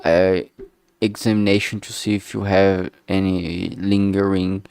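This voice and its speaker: A man's voice: sits low, little pitch movement, a little slow, creaky, a little mellow, clean tone, dry recording. A man thinking aloud casually as he works, speaking hesitantly and often trailing off mid-thought. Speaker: streamer making a visual novel.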